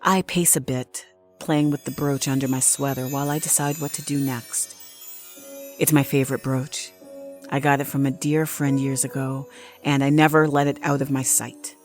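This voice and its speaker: A woman playing a solitary, unflappable night nurse, narrating an audio drama in a measured, confiding way. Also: extremely low pitch, flat delivery, darkish tone, faint music behind. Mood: pensive, composed. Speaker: actress, narrator. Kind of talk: first-person scripted audio drama monologue.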